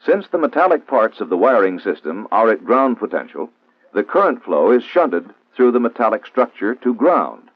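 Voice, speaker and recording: An American man reads a scripted instructional narration in a formal, measured, didactic way, in the style of a 1960s training film. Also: on the low side, sing-song, no echo. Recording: old 1960s film soundtrack, narrow phone-like band, dry.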